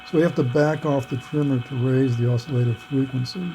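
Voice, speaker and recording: A man's voice: mid-range voice, fairly even intonation, fairly quick, dark tone, a little hiss or hum, low-quality recording. A man giving an unscripted hands-on technical walkthrough, thinking aloud in a matter-of-fact way. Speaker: presenter of a radio repair demonstration.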